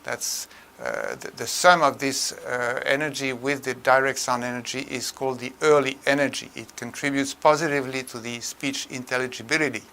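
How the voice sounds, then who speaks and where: An older man giving a tutorial lecture in a measured, halting way with frequent pauses. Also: mid-range voice, fairly even intonation, pushed and bright. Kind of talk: tutorial lecture on room acoustics.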